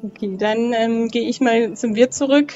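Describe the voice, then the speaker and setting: A woman's voice: fairly high pitch, fairly even intonation, moderate pace, mellow. A woman playing a tabletop game, improvising casually in a polite, hesitant way. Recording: band-limited dull audio, music behind.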